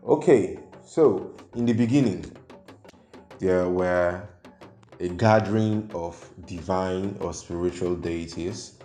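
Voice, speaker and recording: A man speaks in a very low voice at an unhurried pace. He explains folklore to his viewers carefully and often stops on fillers. The room gives his voice a roomy echo, and soft music plays underneath. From Nigeria. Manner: measured, pausing often with fillers. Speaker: YouTube host. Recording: echoey room with faint music.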